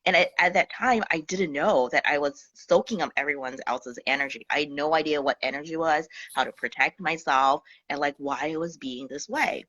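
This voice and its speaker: A woman from Singapore, a guest in an interview on a video call, telling her story in a lively way and acting out her past thoughts. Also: sits low, normal speed, few pauses, lo-fi sound. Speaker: guest, Akashic Records healer. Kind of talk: casual interview chat.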